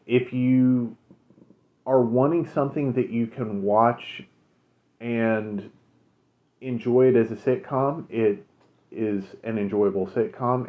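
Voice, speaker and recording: A man co-hosting a podcast, chatting casually and recommending shows, relaxed with many fillers. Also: medium pitch. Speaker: podcast co-host. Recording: phone-like narrow sound.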